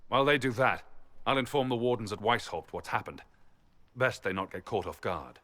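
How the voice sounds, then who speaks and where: A man's voice: fairly low pitch, lively intonation, moderate pace, fairly bright tone, no echo. An actor playing a seasoned, plain-spoken male hero in a fantasy video game, speaking with frank assurance. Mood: parting, resolute.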